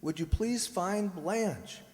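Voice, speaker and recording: An actor playing a commanding professor, formal and emphatic, in the style of an old radio thriller. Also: male voice, high voice, takes it slowly, darkish tone. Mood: polite, impatient. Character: commanding professor, master of the house. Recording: echoey hall radio-play recording.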